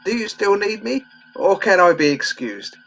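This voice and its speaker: Man playing a high-voiced, timid doddery old medic. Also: big pitch swings, normal speed, creaky, fairly bright tone, highs cut off, soft background music, heavily acted. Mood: meek, fussy. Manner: meek, fussy, polite. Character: gentle, timid, doddery old English Home Guard medic. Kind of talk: comedy radio play acting.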